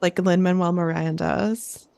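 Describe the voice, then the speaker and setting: A woman with a creaky voice hosting a casual coaching chat, affirming and reflecting back what she hears. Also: lowish voice, steady tone, measured pace, darkish tone. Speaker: host and creativity coach.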